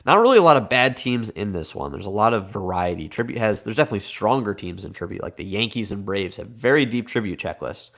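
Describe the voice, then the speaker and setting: A man's voice: lowish voice, animated, fast talker, vocal fry, hardly any pauses, a bit bright. A man with a Midwestern American accent hosting a live card break, slightly congested from a cold, chatty and upbeat as he reads out names and teams.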